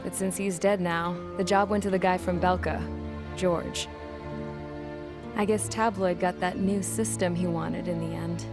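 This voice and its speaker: A woman's voice: fairly low pitch, fairly even intonation, measured pace. An actress voicing a blunt, plain-spoken young woman mechanic and pilot in a game cutscene, wry and down to earth. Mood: reflective. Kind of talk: game cutscene dialogue and narration. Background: loud music score.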